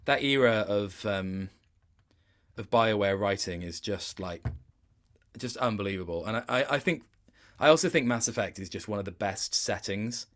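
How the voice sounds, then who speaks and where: A man's voice: fairly low pitch, lively intonation, moderate pace, a bit bright. A man talking casually as an interview guest, thoughtful, hesitant and a little self-deprecating. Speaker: interview guest, video game voice actor. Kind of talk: casual chat.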